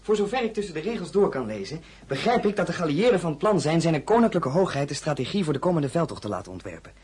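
An actor playing a young, loyal count who speaks with earnest deference in a dramatic audio play. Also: male voice, a little high, very animated, quick pace, darkish tone, slightly rough. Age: young adult. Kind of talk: dramatic radio play acting.

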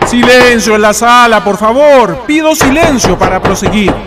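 A man's voice: very high-pitched, moderate pace, over loud music. A man with a Chilean accent playing a stern military prosecutor in a historical radio drama, formal and declamatory. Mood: commanding. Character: stern male military prosecutor pronouncing charges in court.

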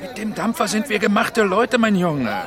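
An actor playing a brash, scheming sea trader in a radio drama, boastful, coaxing and coarse. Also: male voice, sits high, expressive, normal speed. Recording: busy outdoor crowd behind. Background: outdoor crowd bustle.

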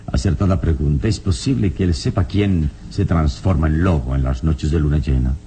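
An actor playing a calm, courteous and confident adventurer hero in a radio drama, with a measured, persuasive way of talking. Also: male voice, extremely low pitch. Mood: persuasive, probing. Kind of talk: old radio drama acting. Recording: noisy old radio serial recording.

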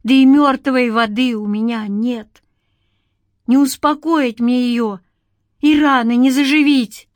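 Женщина играет отчаянного деревенского парня, высокий страстный голос. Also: female voice, high-pitched, fairly even intonation, a little slow, few pauses, no echo, studio quality, voice pushed up. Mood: bitter. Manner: emotional, breaking from whisper into shouting. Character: grieving, defiant, anguished young village man.

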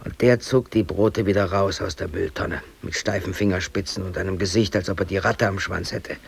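A man's voice: low voice, steady tone, normal speed, gravelly, breathy edge, full character voice. An actor playing a brooding, aggrieved bakery worker in his thirties, telling his story colloquially and intensely in a radio drama. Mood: bitter recollection. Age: 30s. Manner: colloquial, emotionally intense, self-justifying. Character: brooding, aggrieved East German bakery worker under arrest. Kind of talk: radio drama monologue, confessional narration.

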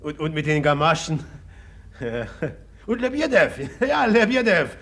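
A man's voice: fairly high pitch, big pitch swings, slow pace, fairly bright tone, heavily acted. An actor in a 1950s radio play voicing a guarded, moody young man who stalls and laughs nervously. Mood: reminiscing. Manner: hesitant and evasive, with nervous laughs. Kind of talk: 1950s radio drama acting. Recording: old radio play, husky tone.

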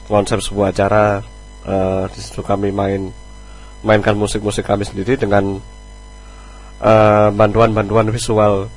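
Low-voiced man, hesitant musician guest. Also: rough recording. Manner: hesitant, thinking aloud. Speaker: band musician, interview guest. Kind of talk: casual interview chat.

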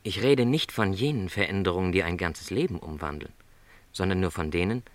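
A middle-aged travelling salesman in a literary radio play. His voice is low, recorded very dry. He is a lonely, gentle dreamer who talks reflectively, with resignation and a touch of apology. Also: animated, a little fast, no echo. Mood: resigned, thoughtful.

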